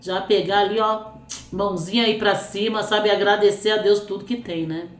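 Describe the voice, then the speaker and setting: A woman with a cold and a hoarse voice, hosting a storytelling channel and reading a listener's life story aloud between chatty asides full of little fillers. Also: mid-range voice, steady tone, moderate pace. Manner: chatty, fills speech with "né" and "assim". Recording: echoey room.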